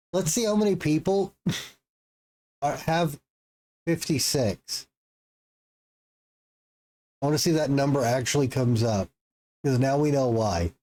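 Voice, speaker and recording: A man's voice: a little high, mellow. A man streaming a game live, reacting with jokes and swearing and working out numbers aloud. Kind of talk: casual live-stream commentary.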